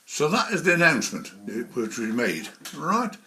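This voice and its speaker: Man casually explaining software step by step. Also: a little high, wildly swooping pitch, normal speed. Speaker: presenter of a model railway software tutorial. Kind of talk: casual spoken screen tutorial.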